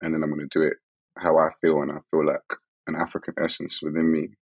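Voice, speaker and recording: Very deep gravelly man. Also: monotone, quick pace, no room sound. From England. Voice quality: gravelly, husky. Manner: laid-back, laughs often. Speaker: musician guest. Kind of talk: casual podcast chat.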